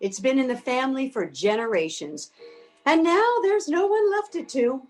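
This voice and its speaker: A woman's voice: high voice, very animated, moderate pace, soft background music. A woman playing a bossy, practical older woman in a comic readers theater piece, impatient and scolding but caring underneath. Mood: resigned, softer. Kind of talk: comedic readers theater acting.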